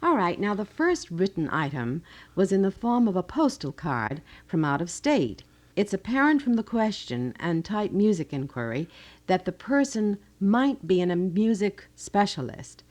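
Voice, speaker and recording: A woman's voice: mid-range voice, very animated, moderate pace, a little mellow. A woman with an American accent co-hosting a live radio program, reading aloud and prompting in a polite conversational way. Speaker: radio co-host. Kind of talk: live radio co-hosting, reading listener letters and asking questions. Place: radio studio.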